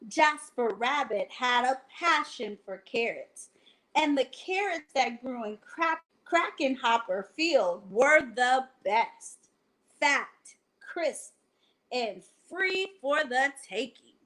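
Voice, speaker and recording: High female voice, unhurried pace, storytime host, children's read-aloud, deliberate phrasing. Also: lively intonation, a bit bright, poor audio. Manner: slow, deliberate phrasing, addressing children directly. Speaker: library storytime host and reader. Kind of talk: bedtime picture-book read-aloud for children. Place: at home, indoors.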